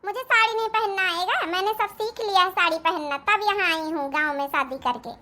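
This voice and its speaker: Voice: very high voice, fairly quick, bright, forceful tone. A performer voicing a kind, confident young city-bred daughter-in-law in a family cartoon, reassuring and taking charge. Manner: reassuring, take-charge, speaks standard Hindi. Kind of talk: Hindi family cartoon dialogue.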